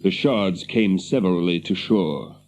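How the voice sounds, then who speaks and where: A deep-voiced, grave noble captain. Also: male voice, a little mellow, a little hiss or hum. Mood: sorrowful, solemn. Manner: stately, archaic, measured speech. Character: Faramir, grave noble captain of Gondor, grieving brother. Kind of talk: radio fantasy drama acting. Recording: dull lo-fi audio with birdsong behind.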